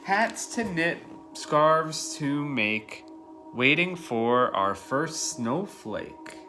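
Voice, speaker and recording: A man's voice: on the high side, big pitch swings, drawn-out words, music in the background. A man in his thirties, a preschool teacher reading a picture book aloud and talking simply and directly to young children. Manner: addresses small children directly with questions and simple explanations. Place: living room at home, indoors.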